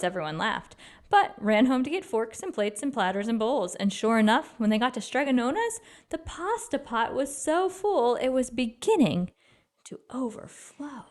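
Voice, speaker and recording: A woman reading a children's story aloud with expressive, dramatic emphasis. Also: a little high, normal speed. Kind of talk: expressive read-aloud narration.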